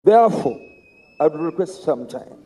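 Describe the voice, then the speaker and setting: A hoarse middle-aged man, giving an emphatic campaign speech to a party conference. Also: on the high side, animated, dark tone. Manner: slow, emphatic orator. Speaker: candidate for party office.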